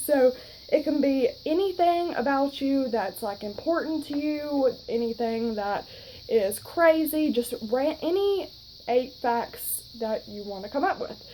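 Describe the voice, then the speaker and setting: A young woman in her twenties with a Southern accent talks straight to her phone in a relaxed, rambling way, full of "you know" and "um". Her voice sits a little high but sounds mellow, with a faint hiss of noise under it. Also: rough recording. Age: 20s. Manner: chatty, rambling, frequent fillers. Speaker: vlogger. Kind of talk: casual chat to camera.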